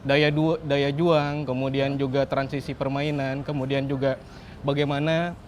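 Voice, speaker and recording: A man giving football analysis in conversation, measured and explanatory. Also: medium pitch, level tone, slight background noise. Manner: measured, explanatory, uses filler "gitu".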